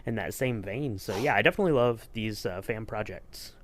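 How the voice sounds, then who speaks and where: A man's voice: fairly low pitch, fairly quick, a little hiss or hum. A man hosting a podcast in casual chat, asking questions in a rambling, hesitant way. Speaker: podcast host.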